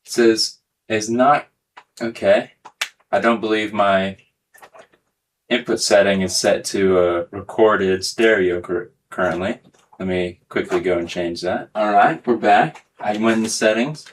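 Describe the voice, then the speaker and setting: A man with a slightly low voice talks casually as he hosts a hands-on demonstration. The recording carries some faint noise and small clicks behind his words. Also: unhurried. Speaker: host of a tech demonstration video. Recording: clearly noisy recording with clicks.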